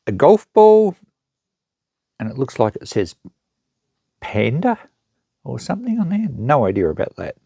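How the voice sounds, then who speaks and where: A man's voice: mid-range voice, wildly swooping pitch, measured pace, creaky, pauses often, dark tone, breathy edge, no echo. An Australian man hosting an unboxing, talking casually and matter-of-factly as he thinks aloud and shows items. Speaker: host of an unboxing channel, secondhand shop seller. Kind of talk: casual unscripted show-and-tell commentary.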